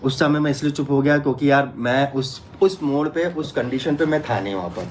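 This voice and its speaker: A man's voice: medium pitch, brisk, a little hiss or hum. A man talking informally as a vlogger to viewers and companions, interjecting and insistently repeating his phrases.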